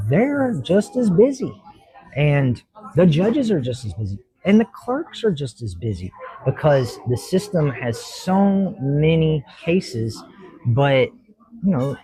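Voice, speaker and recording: A man with a husky, slightly breathy voice of middling pitch. He is a judge talking through his views in an interview, earnest and reflective. He explains each point at length. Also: mellow, poor audio. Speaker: judge, talk show guest. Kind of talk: interview answers.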